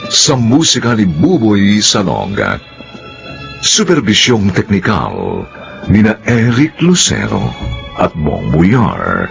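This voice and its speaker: A deep-voiced Filipino man delivers formal radio drama narration. His slow, theatrical phrasing swings dramatically in pitch, over soft music in a slightly muffled broadcast. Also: gravelly. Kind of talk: formal scripted closing narration.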